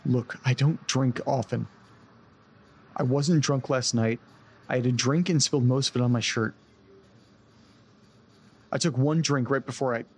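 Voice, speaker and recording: An actor playing a distressed, desperate man in an audio drama, speaking earnestly and haltingly, often breaking off mid-sentence. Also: mid-range voice, steady tone, slightly rough. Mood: defensive, haunted. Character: distressed man recounting a haunted-house ordeal, desperate to get home. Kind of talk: audio drama acting, naturalistic.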